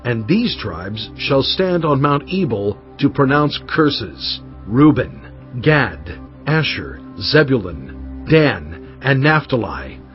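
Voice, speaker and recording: A man playing a solemn, commanding Hebrew prophet and lawgiver in a dramatized audio Bible, declaiming deliberately to his people. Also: medium pitch, very animated. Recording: dull band-limited audio over music. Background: background music.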